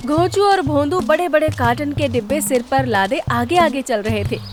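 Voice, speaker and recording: A woman's voice: high-pitched, moderate pace, music in the background. A woman narrating a scripted comic story for a cartoon, in the role of storyteller.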